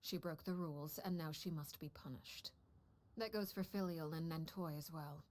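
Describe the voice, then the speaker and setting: A woman voicing a cold, hushed household matriarch. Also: close to an everyday voice. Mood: coldly composed. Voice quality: low, hushed, often near a whisper. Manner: calm, measured, icily composed. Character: cold, stern mother figure called Father, head of an assassin household. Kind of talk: video game story dialogue.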